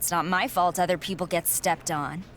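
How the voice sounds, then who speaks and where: A woman's voice: lowish voice, fairly even intonation, moderate pace, a bit bright, slight background noise. An actress playing a cocky, ruthless, self-absorbed female wrestler in a video game, smug and self-assured. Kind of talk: scripted video game cutscene dialogue.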